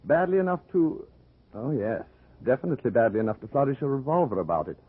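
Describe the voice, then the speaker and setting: Give this one to an urbane, unflappable gentleman of old radio mystery. He is drily witty and mock-polite, with a slightly rough voice that swings freely in pitch. It comes through a muffled vintage radio recording. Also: big pitch swings, fast talker. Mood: wry, unruffled. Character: suave, wry, unflappable gentleman bachelor adventurer. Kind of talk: old-time radio comedy-mystery acting.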